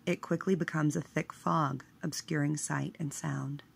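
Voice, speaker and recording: A woman leading a scripted guided meditation in a measured, hushed manner, letting her phrase endings trail away. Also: sits low, fairly even intonation, vocal fry, husky.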